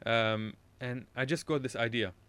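A man's voice: mid-range voice, steady tone, normal speed, fairly bright tone, smooth. A man from Iraq, a radio show guest, telling a casual anecdote in a rambling way, often correcting himself and laughing at his own story.

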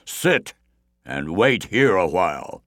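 A man's voice: sits low, sing-song, a bit bright, dry recording, clean studio sound, full character voice. A man doing a growling forest giant. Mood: gruff. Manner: slow, growling delivery. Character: huge male forest giant, gruff and unafraid.

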